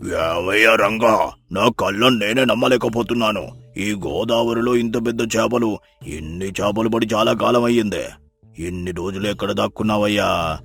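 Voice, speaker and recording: A man's voice: fairly low pitch, normal speed, gravelly, a bit bright, slightly rough, faint music behind, full character voice. A man playing an amazed, generous fish merchant in a moral story, with an expansive, exclamatory manner. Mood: amazed, pleased. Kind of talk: acted moral-story dialogue.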